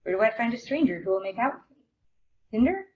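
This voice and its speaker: A woman's voice: a little high, animated, quick pace. A woman presenting and reading aloud at a live indoor event. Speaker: presenter and reader at library event. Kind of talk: live dramatic reading of memoir. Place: indoor event before an audience. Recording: dull live recording, noticeable room noise.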